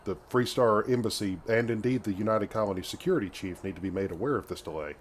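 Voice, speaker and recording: A man voicing a courteous, earnest space adventurer, measured and articulate, over a video game visual novel. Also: on the low side, a little hiss or hum, close to an everyday voice. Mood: earnest, helpful.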